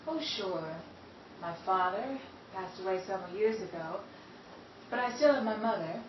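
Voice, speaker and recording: A woman playing a guarded, self-mocking secretary who speaks in a hushed, coy way, in a 1930s-style radio drama. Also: mid-range voice, animated, moderate pace, close to an everyday voice. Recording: roomy, distant, dull and noisy.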